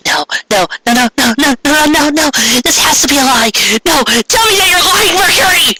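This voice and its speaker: Distraught teenage anime-style heroine, played by a man in falsetto, extremely high, animated, tearful and pleading. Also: normal speed, loud music behind the voice, low-quality recording. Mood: hysterical grief. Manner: wailing, pleading, shrill falsetto. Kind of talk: over-the-top fan fiction dramatic reading.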